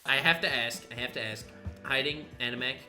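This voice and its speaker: A man's voice: medium pitch, brisk, loud music behind the voice. A man from Canada hosting a casual podcast chat, joking and laughing often as he asks questions. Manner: animated, laughs often, jokey. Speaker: podcast host.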